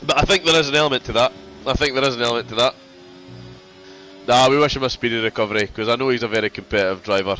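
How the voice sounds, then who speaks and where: A man's voice: medium pitch, very fast, sharp tone, soft background music. A man doing casual sim racing commentary, congested from a cold, chatty and prone to repeating himself.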